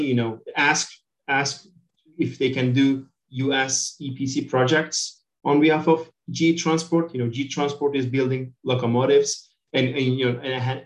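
A man's voice: mid-range voice, roomy sound, poor audio. A middle-aged man with a Turkish accent, giving informal, conversational answers as an interview guest. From Turkey. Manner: informal, peppered with "you know". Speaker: interview guest, company director.

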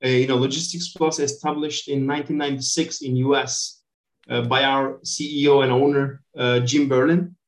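A man's voice: mid-range voice, level tone, normal speed. Middle-aged male company director, Turkish accent, mid-range voice, informal interview answers on an echoey online call. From Turkey. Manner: informal, peppered with "you know".

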